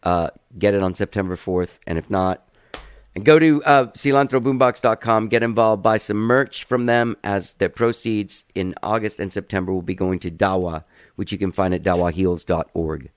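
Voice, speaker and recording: A man's voice: sits low, normal speed, clear, resonant tone. A man hosting a podcast in casual chat, talking loosely with plenty of filler words. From Cuban family. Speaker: podcast host.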